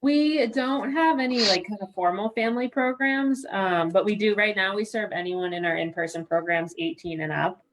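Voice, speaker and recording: A woman's voice: medium pitch, normal speed, few pauses, noisy background. A woman directing a recovery organization, explaining its programs in a casual chat with hesitant fillers. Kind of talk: casual live-stream chat.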